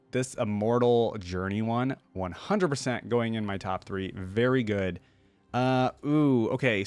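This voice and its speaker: A man's voice: fairly low pitch, clear, resonant tone, no room sound. A man hosting a reaction video, giving casual, chatty commentary and thinking aloud in an informal way.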